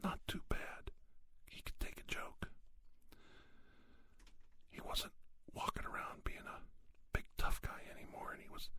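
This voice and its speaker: A man whispering an ASMR monologue, giving folksy, plain-spoken advice and anecdotes with unhurried, emphatic pauses. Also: sharp tone. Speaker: ASMR podcast host.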